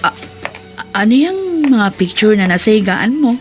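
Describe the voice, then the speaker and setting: Actress as a kindly married schoolteacher, mid-pitched. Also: female voice, expressive, normal speed, faint music behind, natural voice. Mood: kindly, brisk. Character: kindly, trusting married woman, teacher and household employer. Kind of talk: Filipino radio drama acting.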